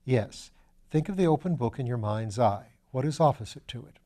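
A man voices a gentleman sleuth in a storybook. He is calm and courteous, coaxing answers with measured questions. The tone is dark and relaxed, at a medium pitch. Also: natural voice. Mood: patient, coaxing. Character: calm, courteous gentleman detective, patiently probing.

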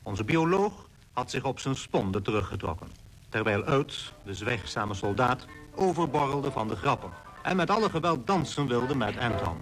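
An actor playing a formal, earnest expedition leader, narrating in a measured way in a radio play. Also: male voice, medium pitch, sing-song, a bit bright, soft background music. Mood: measured narration. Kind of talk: scripted radio drama narration. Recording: noisy old radio play recording.

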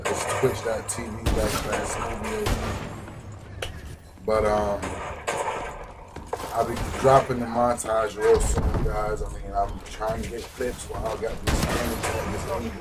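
A hoarse young man giving rambling, slangy, casual commentary over his gameplay, full of fillers and restarts. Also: lowish voice, measured pace. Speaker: gaming YouTuber. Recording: loud background noise.

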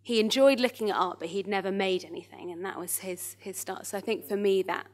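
A woman's voice: medium pitch, brisk. A woman speaking thoughtfully and hesitantly in an informal panel talk through a stage microphone. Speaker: panellist, arts access manager. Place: small theatre stage in front of an audience.

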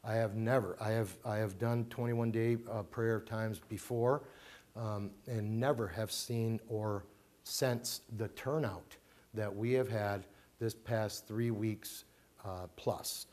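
A man's voice: lowish voice, fairly even intonation, takes it slowly, a little mellow. A middle-aged man, a pastor giving an informal family talk to his congregation in a small church, chatty, with fillers, restarts and emphatic repeats. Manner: conversational, many fillers and restarts, emphatic repetitions. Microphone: handheld mic, far camera.

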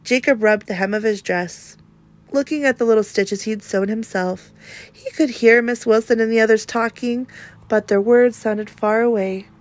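A woman reading a children's picture book aloud in an expressive narrating style. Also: on the high side, normal speed. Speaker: storybook reader.

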